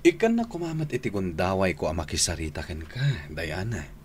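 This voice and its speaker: A man's voice: low voice, big pitch swings, normal speed, a little mellow, a little hiss or hum. A man acting a spurned, lovesick suitor in an Ilocano radio drama, pleading insistently.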